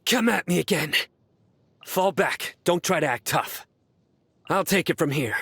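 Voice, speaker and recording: An actor playing a stern, earnest young judge and desert warrior in a video game, speaking in a measured, formal, deadpan way. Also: male voice, fairly high pitch, creaky, breathy edge, no room sound, exaggerated voice. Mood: firm, determined. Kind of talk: scripted video game character voice lines.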